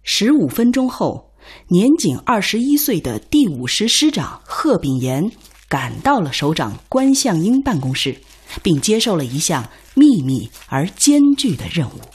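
A woman's voice: lowish voice, sing-song, unhurried, slightly rough. A woman giving solemn, carefully enunciated scripted narration for a radio drama.

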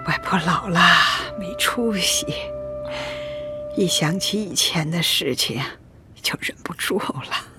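A woman's voice: fairly low pitch, animated, over music. An actress playing an elderly grandmother in a radio drama, sorrowful and regretful, telling the past wistfully. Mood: tearful. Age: older. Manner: slow, wistful, tearful storytelling. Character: sorrowful, regretful old grandmother recalling her lost husband.

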